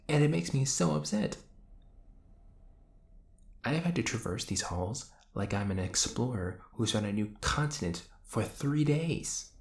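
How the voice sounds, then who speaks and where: A man's voice: medium pitch, gravelly, roomy sound. A man playing an exasperated, sarcastic young hero who banters and teases his frenemy in an audio roleplay. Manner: ranting, sarcastic, teasing. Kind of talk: scripted audio roleplay acting addressed to the listener.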